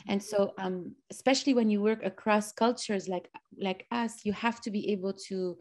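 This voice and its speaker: A woman's voice: mid-range voice, steady tone, moderate pace, darkish tone. Eager woman hosting a video call. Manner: enthusiastic, many fillers. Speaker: host. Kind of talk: casual interview chat. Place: small room indoors.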